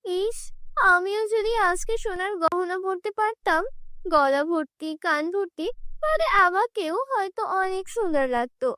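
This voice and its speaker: A woman acts out a poor young village bride in a fairy-tale cartoon. Her voice is extremely high and dry, plaintive yet stubborn and proud, with emphatic delivery. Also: expressive, normal speed, fairly bright tone, no room sound. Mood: wistful.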